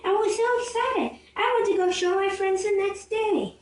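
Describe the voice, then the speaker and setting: Very high-pitched storyteller, female, halting read-aloud. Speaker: storyteller in a puppet show. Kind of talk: scripted storytelling, narrating a fable. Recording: roomy, distant home recording with noise.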